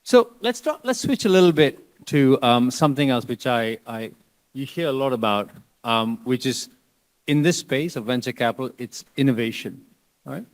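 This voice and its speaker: A man's voice: medium pitch, animated, moderate pace. A man who grew up in Malaysia, moderating a panel discussion with conversational, probing questions.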